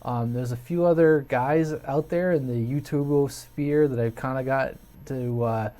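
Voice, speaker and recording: A man's voice: mid-range voice, fairly even intonation, measured pace, dark tone. An American man hosting a hobby video channel, talking casually and unscripted to viewers in a hesitant, rambling way, thinking aloud.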